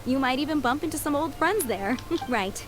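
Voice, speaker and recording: A woman's voice: high-pitched, expressive, normal speed, a little hiss or hum. An actress playing a friendly, poised young townswoman in a video game, chatting in a polite, breezy, helpful way.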